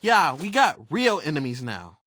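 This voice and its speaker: Bright, high-pitched cocky turtle teen. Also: male voice, sing-song, a little slow, no room sound, heavily acted. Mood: wry, joking. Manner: breezy, boastful, joking. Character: upbeat, cocky teenage mutant turtle boy. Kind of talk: animated game voice acting.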